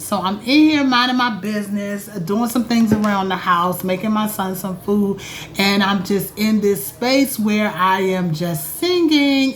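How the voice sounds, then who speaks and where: Woman astrologer chatting to her viewers at home, calls them y'all, bit of faint noise behind. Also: medium pitch. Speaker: astrologer and vlog host. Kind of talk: casual spiritual vlog talk.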